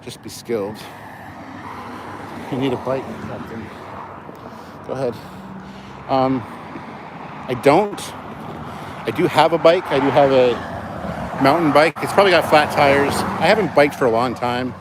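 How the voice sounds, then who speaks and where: A man's voice: medium pitch, unhurried, long pauses. Man chatting over noisy street traffic. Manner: informal, joking, sweary. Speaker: livestream host. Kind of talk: casual rambling livestream chat. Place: outdoors, walking along city streets near traffic. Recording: noisy outdoor street with passing cars.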